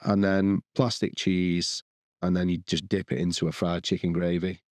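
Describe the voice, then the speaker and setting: A man's voice: sits low, flat delivery, normal speed, a little mellow, no echo, studio quality. A man co-hosting a podcast, rambling and restarting sentences as he lists the dishes he cooked. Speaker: podcast co-host. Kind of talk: casual chat.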